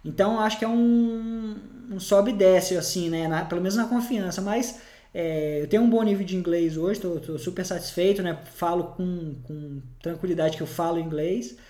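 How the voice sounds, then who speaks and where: A man's voice: sits high, normal speed, mellow, roomy sound. A man chatting casually, often hesitating and restarting his sentences. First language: Portuguese. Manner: hesitant, many restarts and fillers. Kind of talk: casual conversation.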